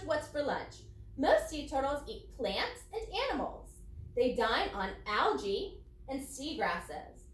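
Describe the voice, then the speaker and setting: High, lively woman teacher reading aloud. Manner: expressive, simple explanatory talk for young children. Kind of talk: children's non-fiction read-aloud with teaching commentary. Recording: roomy echo, distant microphone.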